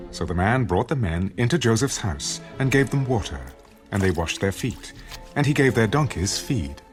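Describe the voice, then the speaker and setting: A man narrating a dramatized audio Bible in a solemn, measured storytelling style. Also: on the low side.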